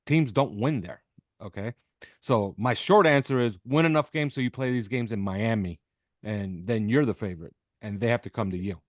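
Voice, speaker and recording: A man's voice: lowish voice, normal speed, no room sound, studio quality. A man speaking as a guest analyst in a sports interview, making his points emphatically and repeating them for effect. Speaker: podcast host appearing as guest analyst. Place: video call with backdrop behind.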